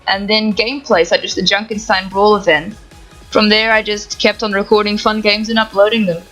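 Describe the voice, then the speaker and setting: A woman's voice: medium pitch, a bit bright, low-quality recording. Young woman with a Dutch accent. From Netherlands. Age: young adult. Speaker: gaming YouTuber. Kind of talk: reading Q&A answers to camera, no face cam. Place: home setup, voice-over without camera.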